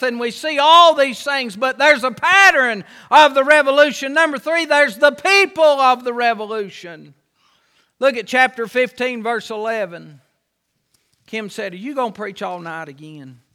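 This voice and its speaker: A man's voice: very high-pitched, sharp tone, smooth. A man preaching a sermon, with an impassioned, repetitive cadence that rises and falls. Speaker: pastor.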